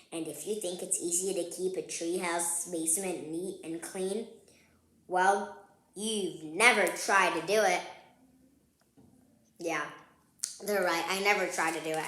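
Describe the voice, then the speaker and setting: A woman reading a children's picture book aloud, playful and expressive, chatting to young listeners between lines. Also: fairly low pitch. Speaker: read-aloud host. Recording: roomy, echoing sound.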